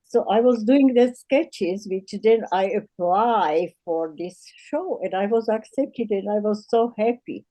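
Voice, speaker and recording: Mellow older woman with Slovak accent. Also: mid-range voice, lively intonation, measured pace, hardly any pauses, dry recording, poor audio. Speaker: self-taught artist. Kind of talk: personal storytelling.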